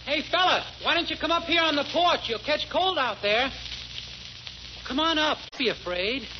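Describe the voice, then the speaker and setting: A man's voice: very high voice, lively intonation, moderate pace. A naive young American man speaks with kindly eagerness in old radio sitcom fashion. The sound is dull and plainly noisy, like an aged 1940s broadcast. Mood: gentle, kindly. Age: young adult. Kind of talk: 1940s radio comedy acting.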